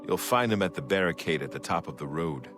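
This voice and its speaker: An actor playing a gruff male refugee in a fantasy video game, grave and measured as he tells his news. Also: rumbling low, lively intonation, music in the background.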